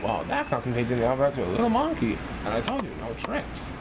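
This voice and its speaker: A man voicing a fatherly storybook man who teaches and gently scolds, with an instructive, chiding manner. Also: medium pitch, wildly swooping pitch, fast talker, noisy background, pitched below the performer's own voice. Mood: scolding.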